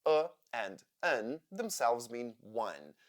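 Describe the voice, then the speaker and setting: A man, explaining English grammar in a slow, deliberate scripted lesson. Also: mid-range voice, very animated. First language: Ukrainian. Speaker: English teacher.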